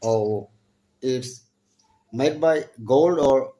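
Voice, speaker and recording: Young man from Bangladesh, eager English learner on a video call, halting phrasing, mid-pitched voice. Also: mellow. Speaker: channel host practicing English with strangers. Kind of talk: casual video chat with a stranger.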